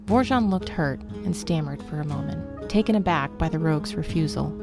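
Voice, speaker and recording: Low-voiced woman narrating fantasy. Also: normal speed, gravelly, over music.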